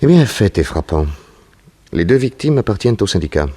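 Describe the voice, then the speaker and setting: A man playing a calm, self-assured private detective in a 1960s French radio drama, composed and reassuring. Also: fairly low pitch, a little fast. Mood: explaining. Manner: composed, reassuring, probing with short questions.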